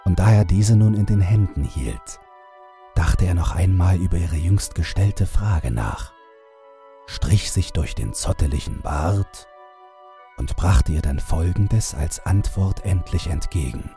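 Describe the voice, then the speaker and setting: Deep-voiced mellow man narrating a story. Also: lively intonation, unhurried, vocal fry, soft background music. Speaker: horror audiobook narrator. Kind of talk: dramatic literary storytelling.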